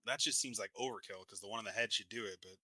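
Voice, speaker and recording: A man's voice: fairly low pitch, fast talker, pushed and bright, slightly rough, no echo. A young man co-hosting a podcast in casual chat, blunt and argumentative, swearing a lot. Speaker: podcast co-host.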